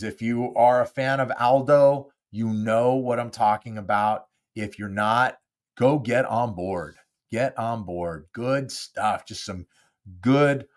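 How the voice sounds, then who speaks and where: A man hosting his own podcast, chatting casually and rambling with lots of fillers while nasal and congested from a cold. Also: fairly low pitch. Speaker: podcast host. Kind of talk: casual solo monologue. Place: home studio.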